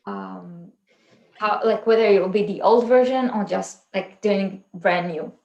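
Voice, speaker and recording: A woman's voice: medium pitch, expressive, measured pace, a little mellow, roomy sound, highs cut off, faint music behind. A woman hosting a casual livestream chat, rambling a little with fillers and restarts. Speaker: channel host.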